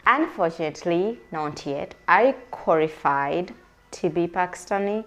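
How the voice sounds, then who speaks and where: A woman's voice: on the low side, lively intonation, unhurried. A woman from Uganda, chatting casually to camera as she answers viewer questions, rambling with many fillers. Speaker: vlogger.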